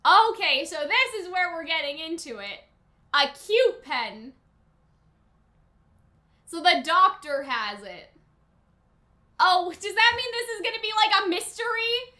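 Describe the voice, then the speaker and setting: A woman with a high and very bright, forceful voice gives casual reaction commentary. She talks expressively and conversationally, with plenty of slang, as the host of a review. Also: lively intonation, slow pace, pauses often.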